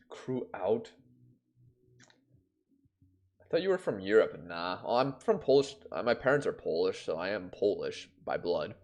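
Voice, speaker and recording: A man's voice: fairly low pitch, big pitch swings, normal speed, a little mellow. A young man from Canada, chatting on a live game stream and reacting aloud to results.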